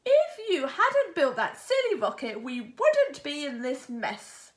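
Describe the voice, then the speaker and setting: Woman voicing high, excitable storybook inventor man. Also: slow, full character voice. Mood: exasperated. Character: Albert, boastful, excitable male inventor in a children's storybook.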